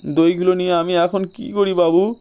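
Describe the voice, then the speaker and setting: Man voicing a frightened, high-pitched village yoghurt carrier. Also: level tone, normal speed, darkish tone, smooth. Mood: tearful pleading. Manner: stammering, tearful, pleading. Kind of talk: dramatised audio storybook.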